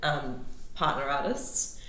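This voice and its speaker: A woman's voice: extremely low pitch, slow, a crowd in the background. A woman from Newcastle, Australia, with an Australian accent, talking casually as a small business owner being interviewed. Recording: roomy echo, noticeable background noise.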